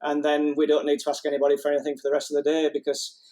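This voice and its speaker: A man speaks in a slightly higher register, telling wedding stories from behind the camera. His talk is casual and anecdotal, broken by easy laughter. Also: completely flat delivery, rapid-fire, mellow. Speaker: wedding photographer guest.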